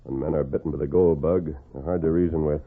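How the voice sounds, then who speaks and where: A man's voice: a bass voice, fairly even intonation, quick pace. A man playing an upright cowboy hero, earnest and commanding, in a 1940s radio western. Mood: thoughtful, concerned. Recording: noisy, tinny old radio recording.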